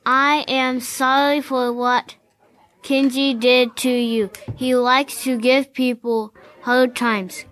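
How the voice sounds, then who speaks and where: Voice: sits high, flat delivery, slow pace, fairly bright tone. A female performer playing a kind schoolgirl who stands up to bullies in an amateur school radio play. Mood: concerned.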